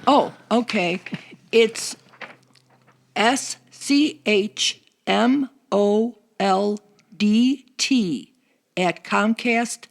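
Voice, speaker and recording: A woman's voice: medium pitch, extremely slow, vocal fry. An older woman chatting casually as a guest, announcing details of a church seniors group.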